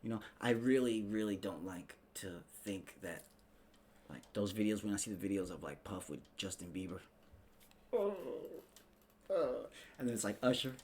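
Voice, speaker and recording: Male commentary host, low voice, sarcastic and sweary, loose street slang, noisy recording. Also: very animated, moderate pace, darkish tone. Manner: slangy, sarcastic, mimics people in mock dialogue. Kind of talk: casual opinion commentary, profane rant. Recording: clearly noisy background.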